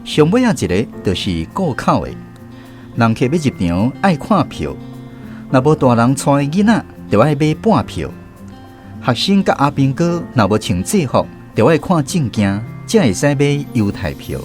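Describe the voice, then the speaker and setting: A man's voice: medium pitch, very animated, normal speed, darkish tone, soft background music, lightly acted. An actor playing a reflective storyteller who narrates his youth in a radio drama, conversational and explanatory, with a Taiwanese accent. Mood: reminiscing. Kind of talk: scripted first-person radio drama narration.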